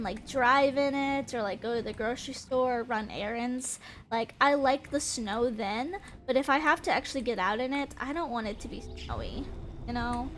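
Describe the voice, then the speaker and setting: A woman's voice: sits high, fairly bright tone. A young woman chatting casually while streaming a game, giggly and rambling, with a stuffy, congested nose. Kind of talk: casual gameplay chat.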